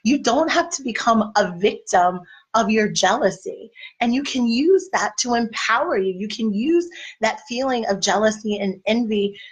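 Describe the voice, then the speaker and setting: A woman in her thirties, a life and marriage coach, giving a casual, encouraging talk to wives. Her voice is a little raspy. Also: on the high side, moderate pace, rough recording. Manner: conversational, encouraging, faith-based. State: slightly raspy voice.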